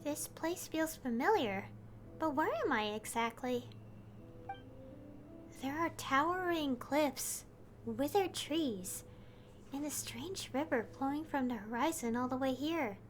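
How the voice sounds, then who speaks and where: A woman voicing a calm, thoughtful young traveler who speaks reflectively. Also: high-pitched, slow, background noise. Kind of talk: fan voice-over of a game scene.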